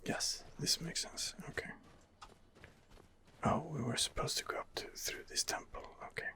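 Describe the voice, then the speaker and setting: A man's voice: on the high side, huge pitch swings, normal speed. Whispering man with very swooping delivery. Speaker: gaming video host. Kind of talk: ASMR game playthrough commentary.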